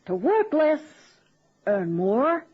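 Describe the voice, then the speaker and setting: High, slow, deadpan secretary. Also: female voice, heavily acted. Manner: deadpan, folksy, unhurried. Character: slow, dim, deadpan female campaign secretary. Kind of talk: radio comedy acting. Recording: dull lo-fi old radio recording.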